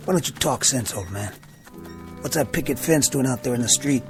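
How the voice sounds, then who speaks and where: An actor playing a tough, impatient gunfighter in an old Western drama, blunt and clipped, with an American accent. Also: male voice, mid-range voice, lively intonation, brisk, lightly acted. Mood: impatient, demanding. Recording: vintage TV drama audio with music.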